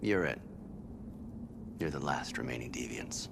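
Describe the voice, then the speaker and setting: Gravelly, very deep-voiced menacing agent. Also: male voice, animated, noisy background, exaggerated voice. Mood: cold, threatening. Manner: measured, coldly persuasive. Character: hard, menacing male government agent negotiating surrender. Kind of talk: cinematic video game acting.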